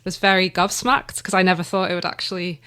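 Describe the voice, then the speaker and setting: Brief: woman writer in an interview, low-ish flat voice, hesitant, chatty. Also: quick pace, smooth. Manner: hesitant, with many ums and restarts. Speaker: guest, audio drama writer. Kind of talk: casual interview chat.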